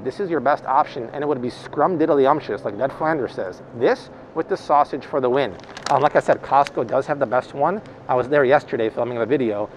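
A man's voice: mid-range voice, a little hiss or hum. A man in his thirties hosting a shop-along grocery vlog, chatting upbeat about products into a phone held at arm's length in a large store. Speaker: host.

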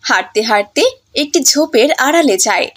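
A woman with a high-pitched voice reads as the narrator of a fairy tale. Her delivery is scripted storytelling for an animated children's story. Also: brisk.

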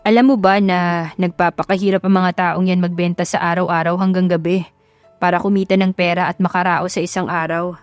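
A woman's voice: lowish voice, flat delivery, brisk, no echo, soft background music, lightly acted. A woman voicing a humble, kind young working man in an audio love story, earnest and apologetic. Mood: earnest, reflective.

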